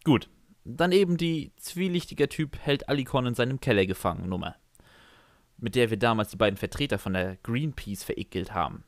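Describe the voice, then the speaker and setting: A man's voice: medium pitch, lively intonation, fairly quick, dry recording, clean studio sound. A man reading scripted first-person comic narration for a fan fiction audio story.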